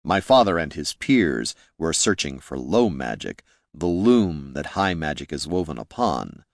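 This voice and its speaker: A low-voiced necromancer's son from a fantasy audiobook, as a male narrator plays him. He is bitter and knowing, explaining in measured phrases. The sound is very dry. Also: very animated, creaky, no echo, heavily acted. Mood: defensive.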